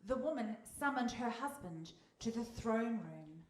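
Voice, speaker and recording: A woman's voice: on the high side, animated, unhurried. A woman narrating a scripted story for a live radio play. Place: hall, live stage performance. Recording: distant mic, echoey hall, faint noise.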